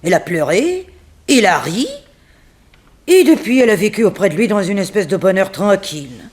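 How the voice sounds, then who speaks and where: A woman playing a composed, unsentimental figure in a 1960s French radio mystery, telling things dramatically with weighted pauses. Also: medium pitch, sing-song, darkish tone, lightly acted. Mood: somber. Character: composed, unsentimental woman recounting a family tragedy. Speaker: actress in a radio serial.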